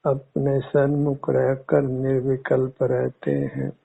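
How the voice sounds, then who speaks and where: A man teaching guided meditation in measured, formal, instructive words. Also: mid-range voice, completely flat delivery, gravelly, slightly rough. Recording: muffled phone-like narrow-band audio.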